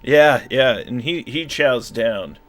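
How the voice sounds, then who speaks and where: Young guy running a tabletop game, bright sharp voice, laughs a lot. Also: medium pitch, slow pace. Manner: animated, laughs often, slips into quoted voices. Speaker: game master. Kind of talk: narrating a tabletop game over a call.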